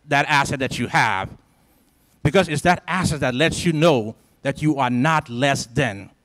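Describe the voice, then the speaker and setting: A man's voice: on the high side, fairly bright tone. Rousing man speaking in a lecture hall. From Liberia. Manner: rousing, preacher-like cadence with emphatic repetition. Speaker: symposium speaker. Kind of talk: motivational speech to students. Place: large college lecture hall, indoors. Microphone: handheld microphone.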